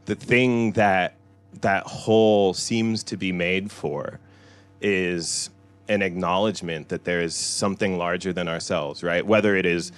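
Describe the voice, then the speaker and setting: A man's voice: low-pitched, fairly even intonation, unhurried, smooth. A man in his thirties who grew up in Iran, an author talking loosely and candidly at a bookstore event, filling his speech with "right?" and "you know". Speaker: author at a book talk. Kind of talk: candid conversational talk.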